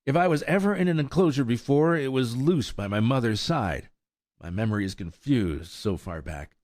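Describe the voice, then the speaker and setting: A hot-headed young colt in a storybook tale, voiced by a man reading aloud. He talks in high, indignant outbursts that climb into shouts. The voice is recorded close and dry. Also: wildly swooping pitch, a little fast, no room sound. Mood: excited. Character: impetuous young male colt, hot-tempered and defiant.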